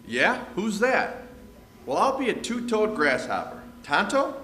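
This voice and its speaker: Guy doing folksy western sheriff, cowboy slang, slightly high voice, noisy room, radio play. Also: sing-song, measured pace, bright, forceful tone, heavily acted. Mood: hearty, folksy. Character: folksy, hearty Old West town marshal. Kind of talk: old-time Western radio re-enactment. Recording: echoey room with background noise.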